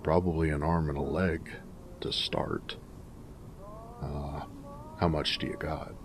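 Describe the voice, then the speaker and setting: A man playing a snarky, easygoing ghoul drifter with a gravelly rasp, chatting wryly in slangy drawl in a post-apocalyptic roleplay audio. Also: rumbling low, level tone, slow, background noise. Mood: dismissive, snarky. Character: snarky, easygoing male ghoul, ex-bush pilot wasteland drifter. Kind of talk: character roleplay audio monologue in a post-apocalyptic game setting.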